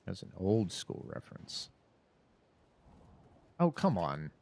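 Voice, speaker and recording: Male streamer in his thirties, low unhurried delivery with swooping intonation, chatting to the game at a desk mic. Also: big pitch swings, vocal fry, darkish tone. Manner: rambling, self-mocking, talks to the game. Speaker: streamer playing a horror game. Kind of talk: casual gameplay commentary. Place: home streaming room.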